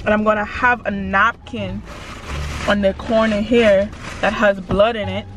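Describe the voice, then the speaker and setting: A woman's voice: medium pitch, flat delivery, a little slow. An upbeat young woman talks to the camera from the driver's seat of a car, in a casual and chatty way. Her voice sits mid-range for a woman, and faint music plays behind her. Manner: chatty, upbeat, repeats catchphrases. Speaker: vlogger pranking her fiancé. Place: inside a parked car. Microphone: dashboard camera.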